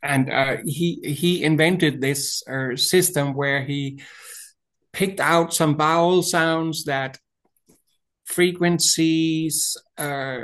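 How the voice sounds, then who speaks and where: An older Danish-accented man talks slowly and haltingly, with a slightly raised pitch. He clears his throat often and restarts his phrases while speaking through a video call webcam in a small room. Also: steady tone, a bit bright. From Denmark. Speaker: author and voice teacher. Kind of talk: interview over video call.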